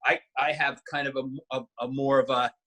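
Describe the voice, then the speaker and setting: Breathy, bright-voiced male singer. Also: mid-range voice, level tone, normal speed. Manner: rambling, self-correcting reminiscence. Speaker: singer-songwriter. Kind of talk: casual conversation.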